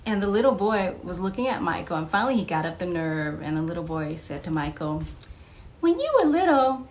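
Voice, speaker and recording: A woman's voice: fairly low pitch, fairly quick. Giggly woman telling anecdotes, noisy recording. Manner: giggly, animated when quoting people. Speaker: vlog host and memoir author. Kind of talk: casual anecdotal storytelling.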